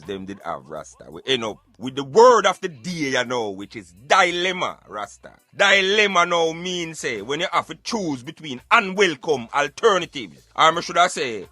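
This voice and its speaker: A man with a Jamaican accent giving emphatic, argumentative, streetwise opinions in animated radio banter. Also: on the high side, slightly rough, no room sound. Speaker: radio show host.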